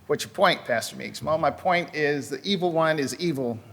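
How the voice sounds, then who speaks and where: A man's voice: fairly high pitch, normal speed, gravelly, a bit bright. Male pastor preaching in a reverberant hall. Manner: conversational, with asides and frequent fillers. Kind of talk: sermon with scripture reading. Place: church sanctuary.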